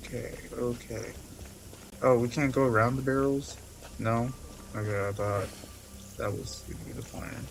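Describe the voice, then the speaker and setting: A man's voice: medium pitch, steady tone, moderate pace, creaky, long pauses, low-quality recording. A man giving casual gameplay commentary and reading game text aloud, with faint noise behind him. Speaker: gaming streamer.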